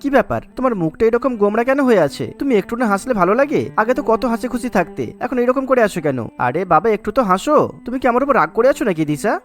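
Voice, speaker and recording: An actor playing a doting young husband and elder brother in a cartoon, coaxing and reassuring. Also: male voice, very high-pitched, very animated, rapid-fire, few pauses, soft background music. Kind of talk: animated family story dialogue.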